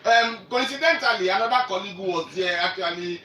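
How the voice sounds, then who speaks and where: A Nigerian man hosting a live sports radio discussion as presenter and reporter, speaking in an animated, emphatic way. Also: high-pitched, quick pace. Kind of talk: live sports discussion introducing interview clips. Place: echoey room, radio show. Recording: roomy, distant mic, faint noise.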